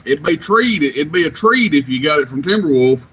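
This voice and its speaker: A man's voice: on the high side, lively intonation, fairly quick. A man teasing and joking with a friend on CB radio. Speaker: CB radio operator. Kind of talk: casual radio banter. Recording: noisy CB radio transmission.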